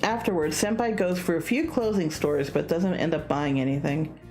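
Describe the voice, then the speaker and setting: A woman's voice: deep voice, moderate pace. A woman streaming a visual novel, reading the narration expressively and slipping into casual asides. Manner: animated, acts out dialogue. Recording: music behind the voice.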